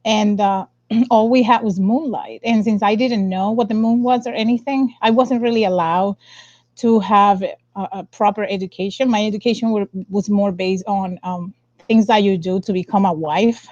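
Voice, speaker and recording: A woman's voice: medium pitch, fairly even intonation, moderate pace, a little mellow, no echo. Young woman on a muffled video call. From Dominican Republic. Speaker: interview guest, science communicator. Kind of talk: casual personal storytelling. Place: indoor room, on a video call. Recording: dull lo-fi video call audio.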